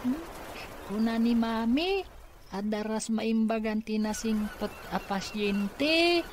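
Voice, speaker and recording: A woman's voice: on the high side, animated, slow, slight background noise. A woman with an Ilocano accent playing an innocent, candid young child in a radio drama. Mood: curious. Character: innocent well-behaved young child of the therapist.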